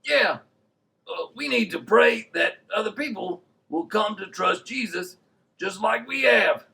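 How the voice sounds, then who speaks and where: Man putting on a creaky, high puppet sidekick. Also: animated, a little slow, sharp tone, full character voice. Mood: curious. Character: eager, curious puppet sidekick, childlike and amazed. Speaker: puppet sidekick on a children's Bible show. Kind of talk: children's puppet show dialogue.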